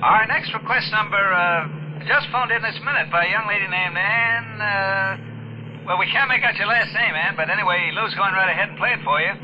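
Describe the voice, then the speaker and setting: An actor playing a chatty late-night request-show announcer heard over a car radio in a 1950s radio drama. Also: male voice, high voice, a little fast. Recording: dull car-radio sound, faint noise.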